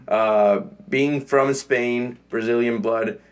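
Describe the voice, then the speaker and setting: A man hosting a podcast interview, asking quick follow-up questions in an eager, upbeat way. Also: mid-range voice, fairly even intonation, clear, resonant tone. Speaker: podcast host. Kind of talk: casual interview.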